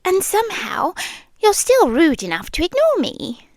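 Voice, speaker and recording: A British woman playing a possessive yandere girlfriend who lives in the listener's head, speaking in an intimate, breathy ASMR style with teasing pauses and frequent drops into whispers. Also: very high voice, wildly swooping pitch, no echo, exaggerated voice. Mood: wounded, playful. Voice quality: breathy, often dropping into whispers. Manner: slow, teasing, coy, with drawn-out pauses. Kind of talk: ASMR roleplay, intimate close address. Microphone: close, dry microphone.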